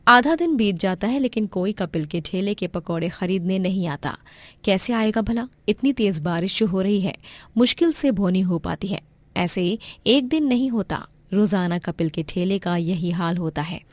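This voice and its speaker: A woman narrates a scripted moral tale. Her pitch is medium and the recording is very dry. She delivers it as a storyteller. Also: animated, normal speed, no room sound.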